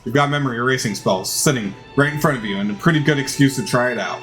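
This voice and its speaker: Man narrating a video game, medium pitch. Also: fast talker, fairly bright tone, soft background music. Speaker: gamer narrating a playthrough. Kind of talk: dramatized reading of game text.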